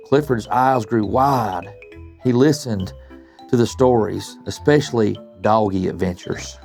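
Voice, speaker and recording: An older man reads a children's story with very lively intonation and a creaky edge. He is expressive and stops to chat with and question young listeners. Soft music plays behind him. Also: mid-range voice. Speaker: guest storybook reader, retired principal. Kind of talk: children's bedtime story read-aloud with chatty asides.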